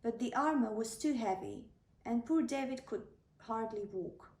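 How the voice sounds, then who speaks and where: Woman narrator for children's bedtime tales: fairly high, unhurried, soft-toned, recorded in a roomy space. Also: animated. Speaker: storyteller. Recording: echoey room, slightly distant microphone.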